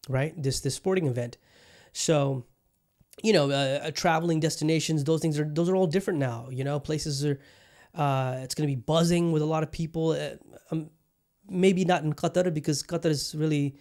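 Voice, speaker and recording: A man's voice: medium pitch, a little mellow. A man hosting his own podcast in a casual solo chat, upbeat and rambling, often repeating his phrases. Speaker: podcast host.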